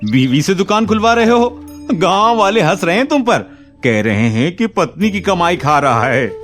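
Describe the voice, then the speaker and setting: A man's voice: sits high, sing-song, normal speed, a bit bright, soft background music. A man playing a mocking, traditional village friend in a Hindi moral-story cartoon, goading and taunting as he talks.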